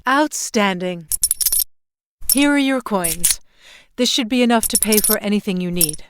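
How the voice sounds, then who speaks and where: A woman's voice: mid-range voice, measured pace, noisy background, close to an everyday voice. A woman acting in an audio play as a composed, mysterious recruiter who speaks formally and precisely. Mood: calm, precise. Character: composed, formal, mysterious woman running secret time-travel missions.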